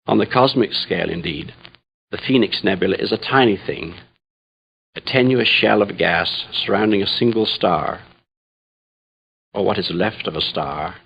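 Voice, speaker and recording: A low, gravelly male voice with a husky edge as a troubled Jesuit scientist in deep space. He speaks in solemn, measured reflection, like a confession in a radio drama. The recording is slightly dull. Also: slight background noise. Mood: reflective. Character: troubled, grave Jesuit astrophysicist priest aboard a starship. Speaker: dramatic narrator. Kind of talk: first-person radio drama monologue.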